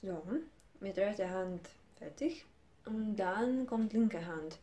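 Slow-talking young woman from Ukraine teaching guitar. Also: mid-range voice, mellow. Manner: slow, careful non-native speech with hesitations. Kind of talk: guitar technique lesson. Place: small room indoors. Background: classical guitar playing.

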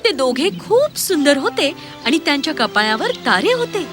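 A woman narrates a children's fairy tale with dramatic expression. Her voice is high and sweeps widely in pitch, with music clearly playing underneath. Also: very animated, normal speed.

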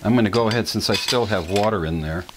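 A man's voice: fairly low pitch, quick pace, noisy background. A middle-aged man hosting a casual kitchen demonstration, explaining each step in a folksy way with fillers, filmed in a home kitchen on a camera microphone. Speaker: channel host.

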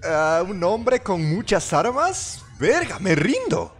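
A man's voice: sits high, very animated, takes it slowly, faint music behind, exaggerated voice. A man with a Latin American Spanish accent voicing a wary, exasperated young gang member in a fan-dubbed game, skeptical and slangy. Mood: teasing. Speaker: fan dub voice actor. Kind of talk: fan-dubbed video game crime dialogue.

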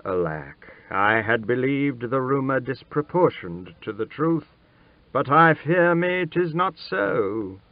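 A man's voice: fairly high pitch, expressive, slow pace, no echo, lo-fi sound, pitched above the performer's own voice. A man voicing an ailing old king who speaks to his child in stately, archaic words with fatherly tenderness and sorrow. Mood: deep disappointment. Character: stern old ailing king turned gentle, grieving father.

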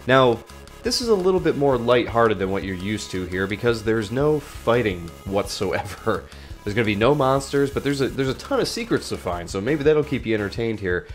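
A man in his thirties with a stuffy nose from a cold, hosting and talking through a game he plays, greeting lively at first and then musing quietly to himself, recorded on a studio mic in a room at home. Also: normal speed, faint music behind. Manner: lively greeting, then low muttered thinking aloud while playing. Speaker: let's play host. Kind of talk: gameplay commentary. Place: home room with shelves of plush toys, indoors. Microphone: large studio mic on a boom arm.

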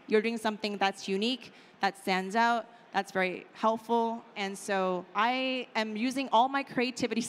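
A woman's voice: mid-range voice, fairly bright tone. Chatty woman speaking in a hall. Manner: chatty, lots of "like" and "right?" fillers. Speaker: conference speaker. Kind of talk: casual conference talk. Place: conference stage in a large hall. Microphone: handheld mic. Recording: hall reverb with faint room noise.

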